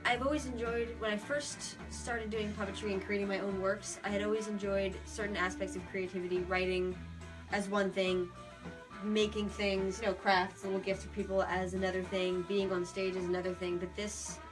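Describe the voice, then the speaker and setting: A woman's voice: medium pitch, level tone, normal speed, poor audio. A young adult woman speaking as an interview guest, explaining her art in an earnest, reflective, casual way. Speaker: guest, puppeteer and theater performer. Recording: music playing behind the talk. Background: guitar music.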